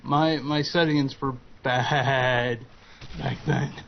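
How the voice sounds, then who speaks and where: A man in his twenties, a guest chatting slowly and hesitantly with frequent ums. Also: mid-range voice, fairly even intonation, gravelly. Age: 20s. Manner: slow, hesitant, lots of ums and uhs. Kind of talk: casual chat over gameplay commentary. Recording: dull lo-fi voice-chat audio with faint noise.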